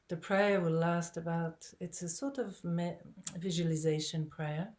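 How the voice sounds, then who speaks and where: A woman in her sixties from Lebanon, calmly and gently guiding an online prayer gathering over a video call. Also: sits low. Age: 60s. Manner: calm, gentle, inviting. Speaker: prayer facilitator. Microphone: video call microphone.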